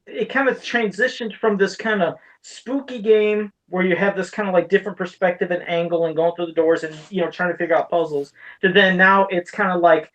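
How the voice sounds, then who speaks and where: A man's voice: high voice, steady tone, a little fast, few pauses, fairly bright tone, poor audio. A man co-hosting a podcast, talking casually and frankly about his opinions in an informal, conversational way. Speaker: podcast co-host. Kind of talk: casual chat about video game movies.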